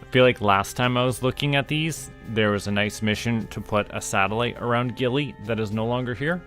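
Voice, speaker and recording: A man's voice: medium pitch, fairly quick, a bit bright, soft background music. A man with a Canadian accent doing casual solo gameplay commentary as a streamer, musing to himself as he goes. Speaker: game streamer.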